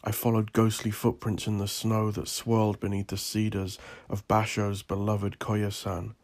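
A man from Northern Ireland narrating his own scripted story as an author, in a brooding, solemn, deliberate and theatrical manner. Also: lowish voice, monotone, a little mellow.

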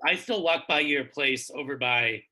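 A podcast host asking questions in a playful, laughing, animated way. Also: mid-range voice, normal speed. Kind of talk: casual conversational interview.

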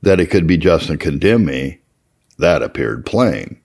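Very deep, gravelly man narrating. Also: very animated, measured pace, a little mellow. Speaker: audiobook-style reader of historical religious readings. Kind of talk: scripted narration of an old first-person memoir.

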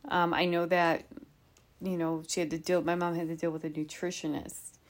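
A woman's voice: on the low side, flat delivery, normal speed. A woman hosting a podcast, telling a true crime case in an informal, conversational way with asides and rhetorical questions. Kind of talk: conversational storytelling from notes.

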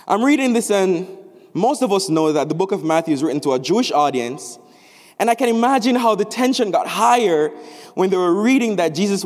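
A young man from Jamaica delivers a chapel sermon in a somewhat high voice. His phrasing is animated and rises on emphatic words. The sound carries a long reverb from the hall. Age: young adult. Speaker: student preacher. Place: large chapel.

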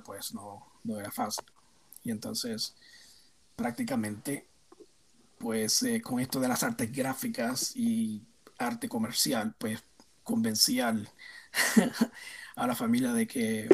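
Un hombre de unos cincuenta años con acento puertorriqueño, invitado en una entrevista, que habla de forma reflexiva y pausada, con muchas muletillas. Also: male voice, medium pitch, breathy edge. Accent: Puerto Rican. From Puerto Rico. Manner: reflective, hesitant, many fillers. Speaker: guest, theme park designer. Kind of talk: casual interview answers.

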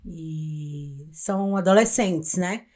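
A woman's voice: lowish voice, slow, darkish tone. Woman chatting and telling anecdotes. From Brazil, Minas Gerais. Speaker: podcast co-host. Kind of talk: casual chat, telling anecdotes.

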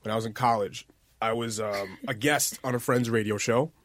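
A man in his mid-twenties hosting a podcast, speaking in a relaxed, plain and slightly scatterbrained way. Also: mid-range voice, moderate pace, no echo. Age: 20s. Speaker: podcast host. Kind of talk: casual podcast chat.

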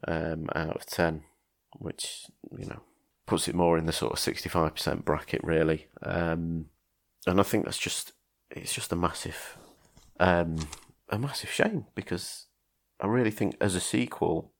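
A man's voice: low-pitched, vocal fry. A man hosting a casual film podcast, talking in a hesitant way with many ums and restarts. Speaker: podcast host.

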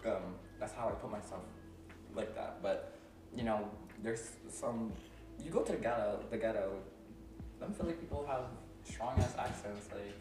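A young man from Philadelphia hosting a casual chat with a friend, chatty and quick to laugh, loose with slang. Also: lowish voice, over music. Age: young adult. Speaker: podcast host. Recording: echoey room, mic a bit far, steady background noise.

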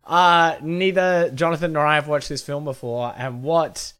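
A man's voice: a little high, fairly even intonation, moderate pace, a bit bright, smooth. A man hosting a podcast while sick, with a blocked, nasal voice, chatting casually and playfully with a faint fuzz under his track. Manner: chatty, playful, laughs at himself. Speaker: podcast host.

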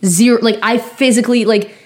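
Young woman co-host, raspy and sniffly from a lingering cold, chatty, rambling delivery full of "like.". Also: a little high, steady tone, a little fast. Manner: rambling storytelling with many fillers. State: hoarse, recovering from lost voice; sniffly from sinus infection. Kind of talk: casual chat.

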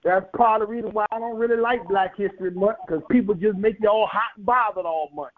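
A man preaching a sermon, building emphatic, rhythmic repetitions and rising to sharp peaks. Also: sits high, quick pace. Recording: tinny phone-like radio broadcast.

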